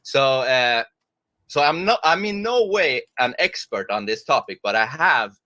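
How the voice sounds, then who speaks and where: A man's voice: mid-range voice, sing-song, unhurried, bright, forceful tone, dry recording. A man from Sweden giving an opening statement in a debate, hesitant and searching for words, with many fillers.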